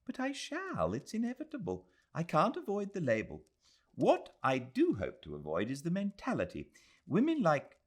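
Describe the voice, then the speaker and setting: An earnest young Englishwoman , voiced by a male narrator, confides in a hesitant, genteel British voice. The pitch is raised and swoops about in a lively storybook reading. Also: moderate pace. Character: earnest, self-doubting young Englishwoman in colonial India. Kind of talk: audiobook character voice.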